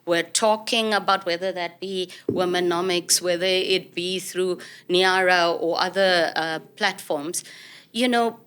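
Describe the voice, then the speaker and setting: A woman guest in an interview, speaking in a measured, thoughtful way with small hesitations. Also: fairly low pitch, steady tone, a bit bright, clean tone. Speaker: guest, CEO. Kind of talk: interview conversation.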